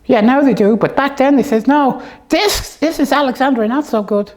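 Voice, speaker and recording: A woman's voice: sits high, moderate pace, darkish tone, natural voice. A woman voicing a bossy, childlike know-it-all puppet who rambles through muddled stories in an improvised comic show. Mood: storytelling.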